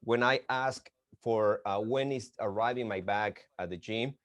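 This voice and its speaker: A man's voice: lowish voice, no echo. A man from Mexico City with a Mexican accent and Spanish as his first language, interviewing casually and searching for his words. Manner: halting, searching for words in English. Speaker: interviewer, boxing gym representative.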